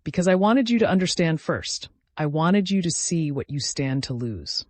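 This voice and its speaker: A man's voice: a little high, sing-song, moderate pace, no room sound, pitched above the performer's own voice. Man reading as calculating vengeful woman, accusatory, menacing, bitterly controlled, audiobook drama, dry studio-like sound. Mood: controlled threat.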